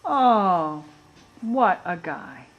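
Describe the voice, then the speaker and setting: A woman's voice: lowish voice, very animated, very slow, highs cut off. A woman serves as narrator for a puppet show. She tells the story in a colloquial storyteller's way, dropping in little asides as she goes.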